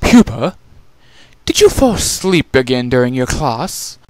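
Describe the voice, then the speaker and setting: A man's voice: medium pitch, sing-song, unhurried, no room sound. A man voicing a scornful, bossy changeling princess with a snide, dismissive manner in a fantasy story reading.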